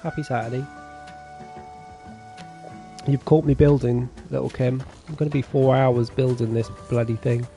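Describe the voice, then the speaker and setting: A man's voice: medium pitch, moderate pace, pauses often, soft background music. A man streaming a farming game, chatting casually with his viewers and reading their messages aloud. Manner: relaxed, chatty, reads chat aloud. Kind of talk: casual chat with viewers while gaming.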